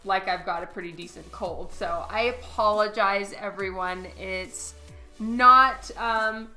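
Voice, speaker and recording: A woman streaming her digital painting, hoarse from a cold with her voice half gone, chatting casually with viewers and laughing often. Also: mid-range voice, slow, pushed and bright, faint music behind. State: hoarse from a cold, voice partly lost. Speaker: digital comic artist streaming. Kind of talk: casual livestream commentary while painting.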